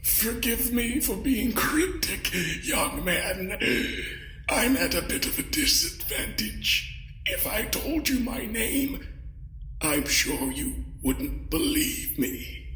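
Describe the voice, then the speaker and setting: High, slow, sinister ancient creature. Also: male voice, sing-song, bright, forceful tone, reverberant, exaggerated voice. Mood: coy, cryptic. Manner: slow, polite, cryptic. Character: ancient, mysterious captor creature, courteous and sinister. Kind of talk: audio drama acting.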